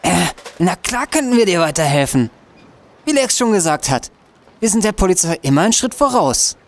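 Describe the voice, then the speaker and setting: A man's voice: sits high, very animated, normal speed. A young man playing a boastful, eager computer-whiz student detective in an audio drama. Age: young adult.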